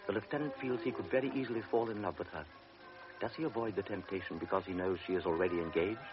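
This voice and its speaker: Very deep-voiced male radio narrator. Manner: measured, quiet narration. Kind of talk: scripted radio drama narration. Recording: dull old radio recording with music.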